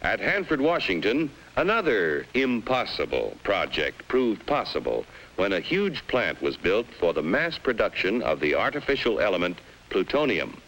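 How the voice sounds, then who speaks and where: A man's voice: on the low side, big pitch swings, moderate pace, few pauses, a bit bright, poor audio. An American man reading scripted narration for a 1950s educational film in a formal, dramatically emphatic manner, on a vintage film soundtrack.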